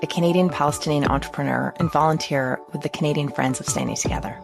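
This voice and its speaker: Podcast co-host lady, deep and creaky, earnest, music clearly underneath. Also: fairly even intonation, quick pace. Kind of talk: scripted podcast narration.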